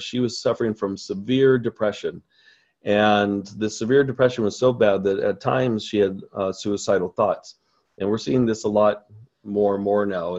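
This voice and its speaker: Earnest qigong teacher on dull webinar audio. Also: male voice, on the low side, a little mellow. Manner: earnest, rambling, with many fillers and restarts. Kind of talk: webinar presentation over slides. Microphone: voice-over on slides.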